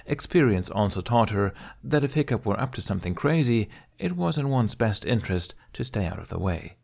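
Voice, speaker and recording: A man with a fairly low voice narrates an audiobook. He tells an adventure story in an expressive, scripted storytelling style. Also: a little fast, creaky.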